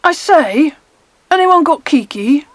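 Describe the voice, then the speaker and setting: An eager English child on an adventure, with a very high voice that leaps about. The delivery is emphatic and exclamatory, in the manner of a children's audiobook play, recorded dry. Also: female voice, animated, slow pace, no echo. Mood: alarmed, calling out. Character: excitable adventurous British schoolboys and girl on a treasure hunt. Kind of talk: dramatised children's audiobook acting.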